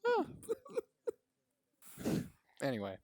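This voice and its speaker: A man's voice: mid-range voice, wildly swooping pitch, drawn-out words, no room sound. Rambling man on a dry podcast mic. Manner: rambling, self-interrupting, joking. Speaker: podcast co-host. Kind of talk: casual podcast chat.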